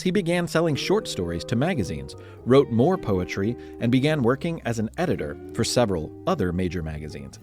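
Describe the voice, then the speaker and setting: Animated male storyteller over soft music. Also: mid-range voice. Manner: playful, conversational storyteller with dramatic emphasis. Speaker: podcast host and narrator. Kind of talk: scripted history storytelling narration.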